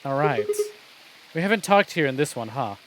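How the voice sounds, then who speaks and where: A man's voice: mid-range voice, very animated, normal speed, slight background noise, low-quality recording. A man streaming a visual novel game, reading aloud and commenting in a wry, conversational way. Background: faint game music.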